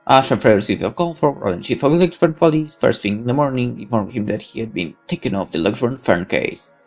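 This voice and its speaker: Male gamer reading visual novel narration: theatrical, very lively pitch swings, gravelly fry, faint music behind. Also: brisk. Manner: theatrical, animated, swearing. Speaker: gaming video host reading narration aloud. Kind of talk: dramatic expressive read-aloud of game narration with asides.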